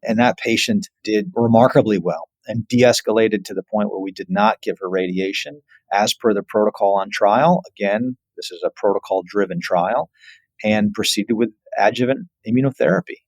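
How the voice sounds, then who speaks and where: A man's voice: on the low side, normal speed, no echo, rough recording. A man, a surgeon, explaining as an interview guest in an emphatic way with examples.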